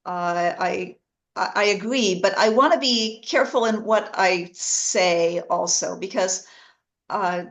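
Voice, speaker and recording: A woman's voice: mid-range voice, slow pace, fairly bright tone. A woman presenter answering an audience question in an academic discussion, careful and thoughtful in her wording.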